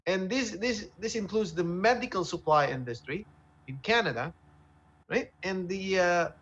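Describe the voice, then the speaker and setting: A man hosting a current affairs discussion, speaking haltingly and repeating words as he goes. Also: sits high, expressive, fairly bright tone, lo-fi sound. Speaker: talk show host. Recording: music bed behind the voice. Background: music.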